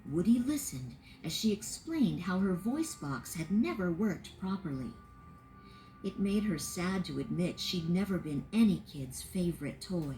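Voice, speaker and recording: A woman narrating a children's read-along storybook in an expressive, sing-song way. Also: on the low side, a little slow, a little hiss or hum, rough recording.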